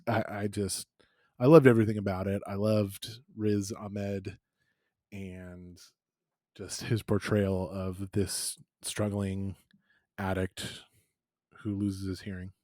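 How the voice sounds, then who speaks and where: A man co-hosting a casual movie podcast, speaking haltingly with repeated words and the odd casual swear. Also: lowish voice, little pitch movement, a little mellow, dry recording, clean studio sound. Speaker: podcast co-host.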